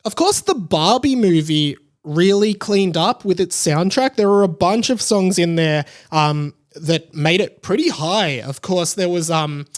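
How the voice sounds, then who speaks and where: A man's voice: high voice, expressive, measured pace, hardly any pauses. A man in his thirties with an Australian accent hosting a podcast solo, chatty and rambling in casual commentary. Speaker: podcast host.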